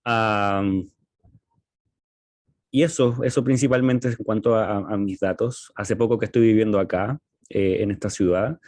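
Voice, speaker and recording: A man with a Chilean accent talking casually as a podcast co-host, in a halting, hesitant way with frequent pauses. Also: on the low side, steady tone, a little mellow, no room sound. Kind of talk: casual conversational podcast talk.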